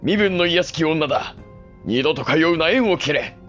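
An actor playing a cold, middle-aged samurai patriarch in a period audio drama, speaking in formal, commanding lordly phrases. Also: male voice, on the high side, expressive, normal speed, a bit bright, lo-fi sound, soft background music.

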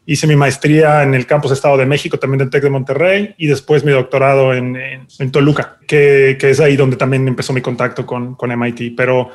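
A Mexican man from Veracruz with a Mexican Spanish accent answering interview questions, relaxed, repeating words as he searches for them. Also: medium pitch, fairly quick, hardly any pauses. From Xalapa, Veracruz, Mexico. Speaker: guest researcher.

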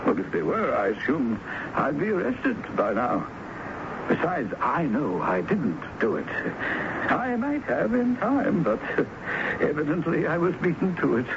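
A wry, talkative theatre producer in a vintage radio drama. His fairly high voice swings freely in pitch, with hesitant, dry asides. The old recording has a clear layer of noise. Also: wildly swooping pitch, a little slow, lo-fi sound, exaggerated voice. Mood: sardonic.

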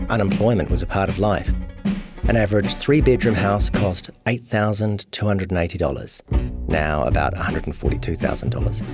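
A man reading a scripted true crime long-read as narrator. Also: fairly low pitch. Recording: music behind voice. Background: guitar music.

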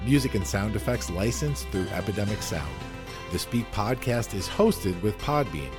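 A man's voice: on the low side, expressive, moderate pace. A man hosting a podcast, reading an upbeat scripted outro with thanks and credits. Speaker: podcast host. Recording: music bed under voice.

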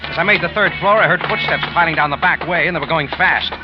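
A man's voice: fairly high pitch, fast talker, lightly acted. An actor playing a tough, wry American private eye who narrates his case in clipped 1940s radio noir style. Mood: brooding, tense. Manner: clipped, hard-boiled delivery. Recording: dull old radio recording with loud music.

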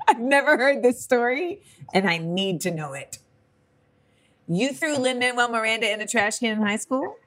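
A woman hosting a casual podcast, cutting in with quick reactions and laughing questions. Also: on the high side, animated, fairly bright tone. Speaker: podcast host.

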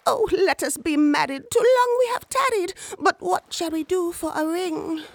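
A woman's voice: extremely high pitch, moderate pace, no room sound, voice pushed up. A woman voicing a doting, lovestruck pussycat in gushing, lilting storybook verse. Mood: adoring, eager. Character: doting pussycat in love, eager to marry.

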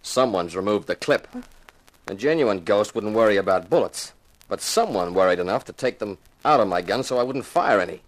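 A cool-headed, hard-boiled investigator played by a male actor with a lowish voice. He is wry and matter-of-fact, both narrating and acting in 1950s radio detective style. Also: lively intonation, moderate pace, lightly acted, poor audio. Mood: reassuring, wry. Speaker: narrator and lead.

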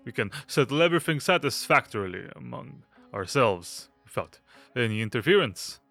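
Man acting a stammering, fussy, nervous little gentleman. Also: medium pitch, very animated, normal speed, vocal fry, faint music behind, heavily acted. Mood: eager, conspiratorial. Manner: stammering, fidgety, obsequious, nervous little laughs. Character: fussy, nervous, hypochondriac young Englishman, aesthete host. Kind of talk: audio drama acting.

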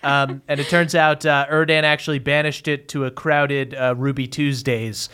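A man's voice: mid-range voice, little pitch movement, moderate pace, sharp tone, smooth, lightly acted. A man playing an affable host of a comic fantasy news podcast, improvising banter and reading out bulletins. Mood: easygoing, amused. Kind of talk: improvised in-character comedy banter.

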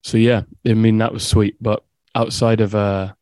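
Young scottish guy, low flat voice, laid back rambling chat, dry sound. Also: moderate pace, no echo. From Scotland. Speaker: musician guest. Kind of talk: casual interview chat. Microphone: video call.

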